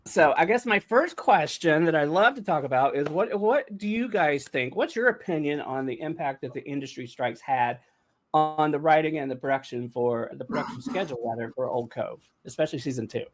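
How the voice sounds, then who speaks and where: A man's voice: on the high side, a little fast, a little hiss or hum. A man hosting a casual behind-the-scenes podcast conversation, talking in a chatty, jokey way. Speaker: podcast host and showrunner.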